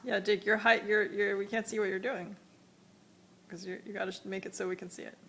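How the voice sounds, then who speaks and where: A woman's voice: mid-range voice, flat delivery, brisk, fairly bright tone. A woman talking casually to young children as a parent would, instructing and encouraging them and often repeating herself. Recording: clearly noisy amateur video sound.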